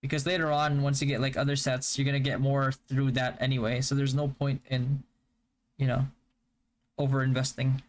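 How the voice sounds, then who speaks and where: A young man from the Philippines whose first language is Hiligaynon, chatting casually on a live stream and answering viewer questions in a relaxed, stop-start way. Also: mid-range voice, normal speed, a little hiss or hum. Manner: relaxed, stop-start, with frequent fillers and restarts. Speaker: game streamer.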